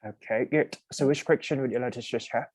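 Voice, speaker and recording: Woman instructor running mock interviews, very deep mellow voice, dry close sound, on a video call. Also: slightly rough, dry recording. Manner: brisk, steady murmured acknowledgments. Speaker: English teacher running mock job interviews. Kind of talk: online class mock interview.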